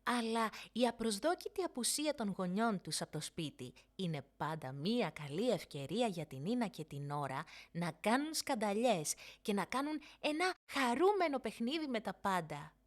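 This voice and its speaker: A woman narrates a story for children. Her voice sits at a medium pitch and moves up and down a lot, in an expressive read-aloud storytelling style. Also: hardly any pauses. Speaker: audiobook narrator.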